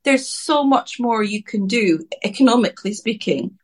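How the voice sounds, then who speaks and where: A woman's voice: on the high side, expressive, normal speed, breathy edge. Outspoken woman with a rambling delivery. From Scotland. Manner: outspoken, rambling, self-interrupting. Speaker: singer-songwriter guest. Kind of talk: candid interview answers.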